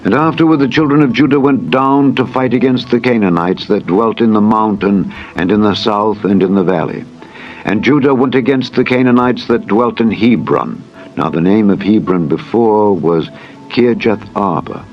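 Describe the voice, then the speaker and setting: Male Bible narrator, lowish pitch, solemn and formal, muffled recording over music. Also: normal speed. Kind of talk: dramatized scripture reading.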